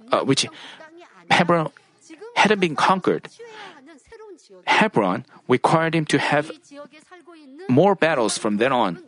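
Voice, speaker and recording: A preacher giving a sermon in a deliberate, measured way, often pausing to correct a phrase mid-sentence. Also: mid-range voice. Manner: measured, deliberate delivery with frequent self-corrections.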